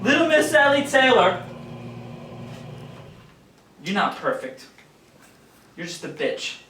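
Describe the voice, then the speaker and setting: A man's voice: very high-pitched, sing-song, slow pace, pushed and bright, heavily acted. A man acting a bitter, jealous employee on stage, ranting with sarcastic, theatrical delivery. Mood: bitter, menacing. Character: bitter, jealous male employee snapping at his colleagues. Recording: echoey theatre recorded from far off, noise.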